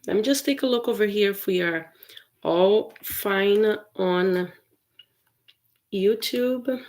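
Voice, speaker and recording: Slow-talking woman from Brazil teaching English. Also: mid-range voice. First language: Portuguese. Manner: chatty, warm to viewers, many fillers and restarts. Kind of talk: casual livestream lesson.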